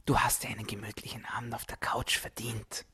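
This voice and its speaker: A man plays a lazy inner tempter in a spoken podcast sketch. The voice is a breathy, soothing whisper. It coaxes indulgently, like a little devil giving permission to slack off. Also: mid-range voice, flat delivery, fairly quick, pushed and bright, no room sound. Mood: coaxing, indulgent.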